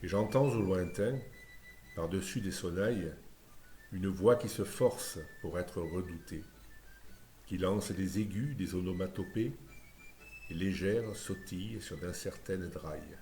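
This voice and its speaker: A man reciting a scripted poem in a lyrical, measured way, phrase by phrase. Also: deep voice, dark tone, slight background noise. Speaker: poetry reciter. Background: music.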